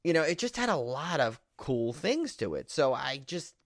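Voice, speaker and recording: A man's voice: a little high, sing-song, no echo. Rambling, enthusiastic man on a podcast, dry sound. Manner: rambling, halting, self-correcting, enthusiastic. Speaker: horror movie podcast co-host. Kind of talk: casual film discussion.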